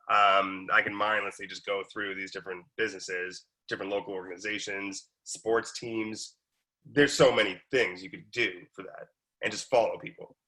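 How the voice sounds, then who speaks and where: A man's voice: on the low side, pushed and bright, rough recording. A man, a real estate agent chatting casually on a call, full of likes and ums. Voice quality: raspy.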